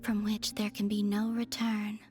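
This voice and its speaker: A woman voicing a serene, aloof young moon goddess in a video game, speaking softly in a measured, formal, deadpan way. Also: mid-range voice, close to an everyday voice. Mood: calm, wistful. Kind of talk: English video game dialogue acting. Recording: faint music behind the voice. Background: faint background music.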